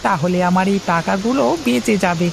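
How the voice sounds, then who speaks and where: A woman voicing a poor, kind-hearted working father in an animated moral tale, speaking earnestly and colloquially. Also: mid-range voice, brisk, vocal fry, noisy background. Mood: hopeful, thinking aloud.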